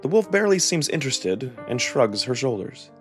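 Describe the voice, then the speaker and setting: Expressive male narrator over music. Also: mid-range voice, normal speed. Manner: expressive, introspective storytelling. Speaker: narrator and reader of a visual novel. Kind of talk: first-person dramatic narration.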